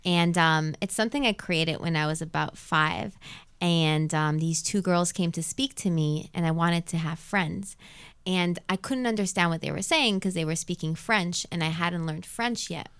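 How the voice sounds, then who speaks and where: Clear-voiced woman, native Arabic speaker. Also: on the low side, moderate pace, fairly bright tone. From Montreal, Canada. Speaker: radio show host being interviewed. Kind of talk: casual live interview chat. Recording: music playing behind the voice.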